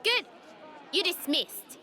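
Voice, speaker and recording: An actress playing a brash, cocky young rebel leader in a video game, with blunt, slangy, bossy talk. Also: female voice, very high voice, lively intonation, slow, sharp tone, some chatter behind, heavily acted. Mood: brisk, commanding. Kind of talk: video game cutscene acting.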